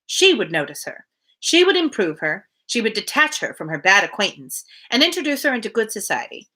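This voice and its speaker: A woman with a bright, animated voice reads a classic novel aloud for a livestream. Her delivery is expressive and storylike, with pitch that moves freely, and she slips easily into casual asides. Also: poor audio. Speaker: reader hosting a daily livestream.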